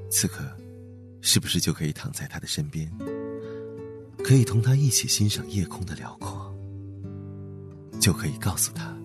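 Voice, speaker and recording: A man acts as an ancient blue whale with a very low, soothing voice. He is lonely and tender, musing in an unhurried radio drama monologue that rises and falls expressively over music. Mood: wistful. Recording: background music under the voice. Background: gentle guitar music.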